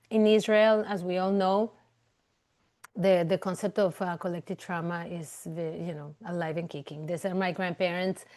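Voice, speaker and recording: A woman's voice: lowish voice, a little mellow. Woman psychologist giving a casual webinar talk. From Venezuela. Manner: conversational, many fillers and restarts. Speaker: clinical psychologist. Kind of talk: webinar presentation.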